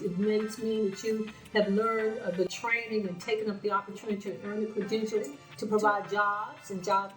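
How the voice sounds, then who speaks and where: A woman hosts her show, speaking earnestly and repeating phrases for emphasis. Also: mid-range voice. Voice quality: slightly raspy. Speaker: show host. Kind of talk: spoken commentary to camera. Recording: roomy sound from a distant mic with faint music.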